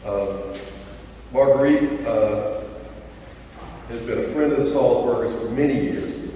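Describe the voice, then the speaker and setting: A man hosting an event, introducing the guest speaker in a halting way with many filler sounds and a dry joke. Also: medium pitch, warm tone, muffled recording, a little hiss or hum. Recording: distant mic in echoey hall.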